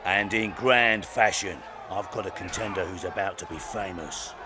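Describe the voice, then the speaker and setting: An actor playing a boastful, scheming fight promoter in a video game, with a grandiose way of talking. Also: male voice, low-pitched, moderate pace, crowd noise, natural voice. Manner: grandiose, speaks of himself in third person.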